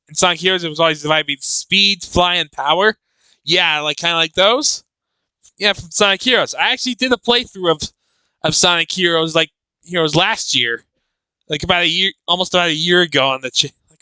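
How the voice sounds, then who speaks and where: Man, reaction host, casual, halting and repetitive, fairly high pitch, very bright tone. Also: smooth, dry recording, poor audio. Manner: halting, repeats and restarts phrases. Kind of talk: casual live reaction commentary on a comic dub.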